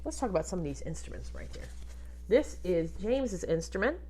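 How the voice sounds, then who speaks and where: Woman, lively swooping intonation, slightly low voice, children's read-aloud, expressive teacher manner. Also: a little fast, breathy edge. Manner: expressive, instructive, asks the listeners questions. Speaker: music teacher reading a picture book to pupils.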